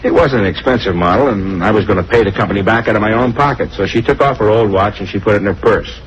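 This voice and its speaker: Casting: man to play nervous American traveling salesman, defensive and rambling, quick delivery, dull noisy vintage radio sound. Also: on the low side, lightly acted. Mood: sheepish, explaining. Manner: rambling, colloquial, self-justifying. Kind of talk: 1950s radio crime drama acting.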